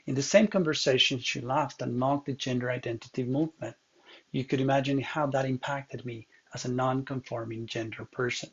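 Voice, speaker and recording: A man reads a prepared presentation in a measured, earnest voice that slips on a word now and then. His voice is gravelly and a little husky. The recording is muffled and lo-fi. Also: medium pitch, fairly even intonation. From Ecuador. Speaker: counseling student. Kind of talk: read presentation narration.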